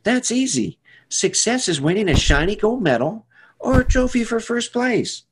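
A man voicing an eager, boastful young animal pupil in a storybook, loud and insistent. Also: high-pitched, big pitch swings, normal speed, background noise. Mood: confident.

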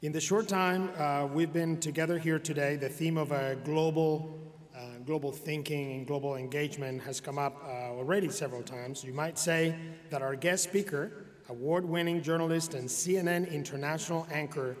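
A middle-aged man introduces a guest speaker at a university commencement, reading formally from a podium mic. His voice sits slightly high for a man, and his speech stops and starts with fillers. The long reverb of a large arena trails every phrase. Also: steady tone. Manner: formal, halting with frequent fillers. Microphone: podium microphone.

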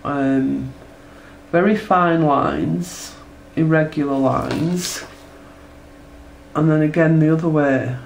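A woman's voice: deep voice, takes it slowly, vocal fry, pauses often, a little mellow, slight background noise, rough recording. A woman with a cold, chatting casually as she demonstrates her textile art and sketches, often asking tag questions. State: congested from a cold. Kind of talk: casual tutorial narration while drawing. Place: indoors at a table.

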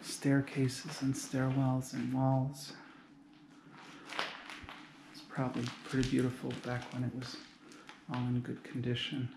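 Young guy with a gravelly voice chatting through a derelict building, big echoey rooms, lots of background noise. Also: medium pitch, monotone, unhurried, long pauses. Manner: chatty, points things out as he walks. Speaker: urban explorer host. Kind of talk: casual walkthrough narration. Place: large abandoned building, indoors, echoey. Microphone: body-worn action camera.

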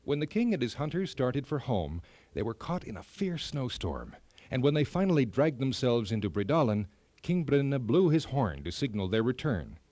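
Male narrator for children's fairy tales, expressive scripted read, animated pitch, close dry sound. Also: normal speed, no room sound. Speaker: storyteller.

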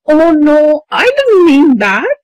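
Young cartoon schoolboy, acted by a woman: high and very expressive, exasperated, full of complaints and excuses. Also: takes it slowly, no room sound, heavily acted, rough recording. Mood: anxious.

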